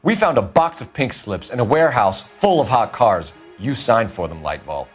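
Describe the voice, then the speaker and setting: An actor playing an earnest, authoritative young American detective and veteran in a video game, speaking in a clipped manner. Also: male voice, mid-range voice, very animated, normal speed, slightly rough, faint music behind. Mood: stern.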